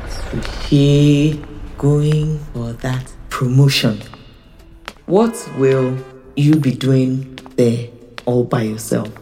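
A woman's voice: a bass voice, steady tone, extremely slow, mellow, soft background music, full character voice, poor audio. A woman with a Nigerian accent playing a teasing, nosy, affectionate young woman in an audio drama. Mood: curious.

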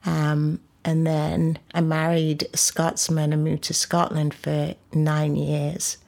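Singer guest, woman from England, unhurried, laughs easily, deep and gravelly. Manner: relaxed, laughs easily, trails off. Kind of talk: casual interview chat.

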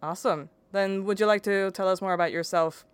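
A woman's voice: medium pitch, fairly even intonation, fairly quick, smooth. A young woman hosting a podcast interview, chatty, using casual fillers and short agreements. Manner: chatty, uses "like" and short backchannels. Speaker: podcast host.

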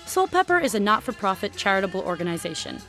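A woman reads closing credits in a measured, formal voice. Soft music plays beneath. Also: medium pitch, sing-song. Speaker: podcast credits announcer. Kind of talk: scripted announcement.

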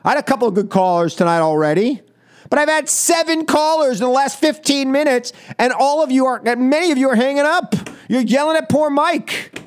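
A man's voice: extremely high pitch, brisk, clear, resonant tone. An American man hosting political talk radio, delivering an opinionated, combative monologue and repeating phrases for emphasis.

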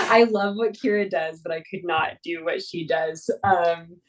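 Low-voiced woman, a bit off-mic. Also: takes it slowly, far from the mic. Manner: laughs easily, many fillers and restarts. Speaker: mountain guide, guest. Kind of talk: casual interview talk.

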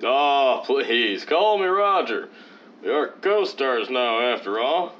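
A man's voice: mid-range voice, sing-song, slow. An actor playing a vain, condescending leading man of old-time radio, pompous and theatrical. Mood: avuncular. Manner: pompous, theatrical, swooping emphasis. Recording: dull lo-fi sound, faint noise.

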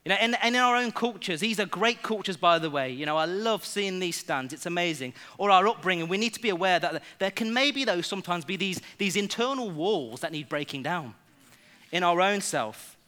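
A young man preaches with a high, bright voice. He keeps the sermon lively and jokes with the congregation. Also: normal speed. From England.

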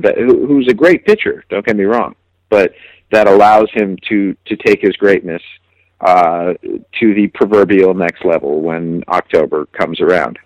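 A man with a lowish voice talks sports analysis in a casual way. He is reflective and halting, often repeating words as he works through a thought. The recording is dry and close. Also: dry recording, poor audio. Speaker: sports journalist guest.

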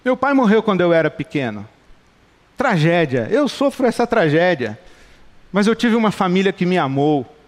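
Impassioned Brazilian pastor, high voice. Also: male voice, sing-song, normal speed. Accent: Brazilian Portuguese. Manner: passionate, rhetorical repetition. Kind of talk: sermon. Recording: long hall reverb.

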